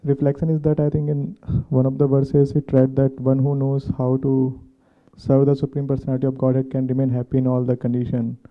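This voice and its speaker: A man asking a question as a class participant, speaking spontaneously in halting, non-native English phrasing. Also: medium pitch, completely flat delivery. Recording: tinny, phone-like muffled sound.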